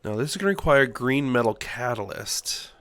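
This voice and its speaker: A man's voice: medium pitch, moderate pace, dry recording, faint music behind. Stuffy-nosed man narrating his gameplay. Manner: relaxed, thinking aloud while building. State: stuffy nose from a cold. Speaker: let's play host. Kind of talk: casual gameplay commentary.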